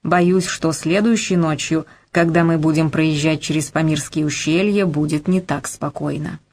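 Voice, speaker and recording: Calm, cautious male train conductor in an audiobook, slow and measured, low-pitched, read by a woman. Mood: cautionary.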